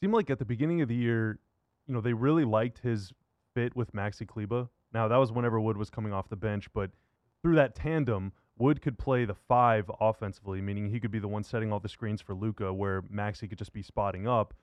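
A man's voice: on the low side, fairly quick, no echo, highs cut off. Guy hosting a sports podcast, chatty and casual, very dry close audio. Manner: casual, conversational. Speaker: sports radio and podcast host. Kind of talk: upbeat show intro and basketball interview.

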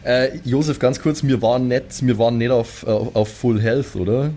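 A man's voice: medium pitch, fairly even intonation, normal speed, dark tone. A man chatting casually as a player in a tabletop role-playing game.

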